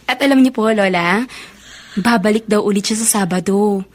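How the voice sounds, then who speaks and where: A woman's voice: medium pitch, unhurried, close to an everyday voice. A woman playing a cheerful, smitten young college student in a Filipino radio drama, sweet and earnest. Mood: giddy, shy.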